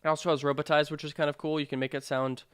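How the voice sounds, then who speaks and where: A man narrating a software tutorial in an informal, explanatory way with hesitant phrasing. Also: a little high, flat delivery, rapid-fire, clear, resonant tone, no echo. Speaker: sound design tutorial presenter.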